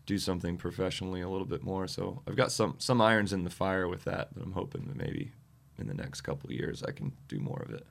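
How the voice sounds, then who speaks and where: A man, a touring musician guest, chatting casually with a slightly scratchy, tired voice and many halting fillers. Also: low-pitched, vocal fry. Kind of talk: casual interview chat.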